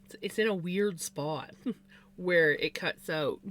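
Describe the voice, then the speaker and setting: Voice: medium pitch, slow pace, fairly bright tone. A livestreamer chatting casually, rambling with lots of fillers and restarts. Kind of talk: casual chat on a live stream.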